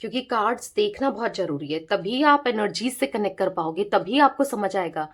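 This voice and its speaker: A woman with a hoarse, strained throat leads a casual tarot reading in a chatty way. She keeps checking in with her listeners. When she calls on the cards, she slows into soft, drawn-out invocations. Her pitch sits mid-range. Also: animated. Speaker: tarot reader.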